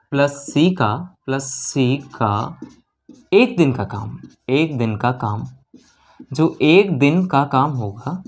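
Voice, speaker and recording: A male maths teacher with a North Indian accent and a mid-pitched voice explains problems as he works through them. He is instructive, repeats key phrases and keeps checking that listeners follow. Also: a little mellow. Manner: instructive, repetitive, with frequent check-in questions. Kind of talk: lesson explained while solving problems.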